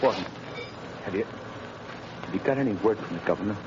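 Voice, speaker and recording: Male actor as innocent man facing execution, low resigned voice, halting, old radio drama with very heavy noise. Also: lightly acted. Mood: despairing, resigned. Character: condemned innocent man, weary and despairing. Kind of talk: 1930s radio drama acting. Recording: very noisy, muffled old radio recording.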